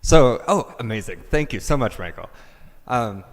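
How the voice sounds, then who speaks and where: A man's voice: medium pitch, expressive, moderate pace. A man leading the singing at a church service, making casual, rambling announcements. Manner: chatty, loose, rambling. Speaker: song leader. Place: large church sanctuary. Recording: reverberant hall.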